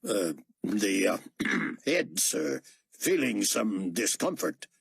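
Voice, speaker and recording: A man's voice: on the low side, very animated, slow pace, vocal fry, a bit bright, husky, dry recording, clean studio sound, full character voice. A man playing a nervous, obsequious aide to a tyrant in an audiobook, hesitant and apologetic in manner. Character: flustered, deferential male aide to a tyrant.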